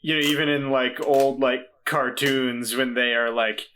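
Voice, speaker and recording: A man chatting casually as a player in a tabletop game podcast, feeling his way through ideas out loud. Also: mid-range voice, fairly even intonation, measured pace. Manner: hesitant, thinking aloud. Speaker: player in an actual-play podcast.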